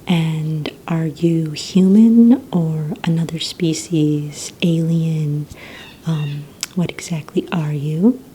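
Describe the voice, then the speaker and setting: A woman whispers very slowly in a soft, mellow, low voice, playing a courteous receptionist in an ASMR roleplay. She asks her questions gently and a little hesitantly. A faint rumbling noise sits behind her. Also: fairly even intonation. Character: courteous female villain recruitment receptionist.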